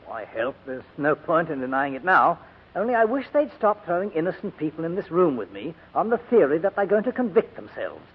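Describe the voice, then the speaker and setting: A man's voice: a little high, sing-song, brisk, husky. An actor playing a rash young male prisoner, sardonic and theatrically defiant, in a 1940s radio drama. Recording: tinny, phone-like old radio recording.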